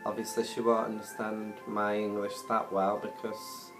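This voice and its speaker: A man's voice: lowish voice, level tone, moderate pace. A man with a Yorkshire accent telling a story to camera in a hesitant, earnest way, with lots of ums. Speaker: vlogger. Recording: loud music playing underneath.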